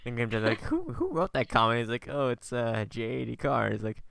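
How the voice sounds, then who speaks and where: A man hosts a casual podcast chat in a clear, smooth voice. His pitch sits in the middle, and his delivery is informal and slangy, told as loose anecdotes. The sound is dry and close. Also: animated, a little fast, fairly bright tone, no room sound. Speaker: podcast host.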